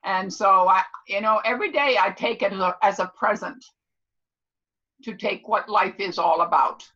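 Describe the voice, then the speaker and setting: An older woman, about seventy, teaches in a bright, forceful voice. She talks casually and emphatically, repeating points to be sure she is followed. The audio is dull and lo-fi. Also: mid-range voice, moderate pace. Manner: emphatic, repeats herself and checks listeners understand; loud, clear talker. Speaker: instructor. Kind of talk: casual motivational class talk.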